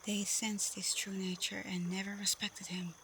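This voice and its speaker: A woman playing a caring, protective, frank female werewolf pack leader in a soft, breathy, reassuring ASMR roleplay. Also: mid-range voice, level tone, moderate pace, slight background noise, close to an everyday voice. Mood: earnest, encouraging.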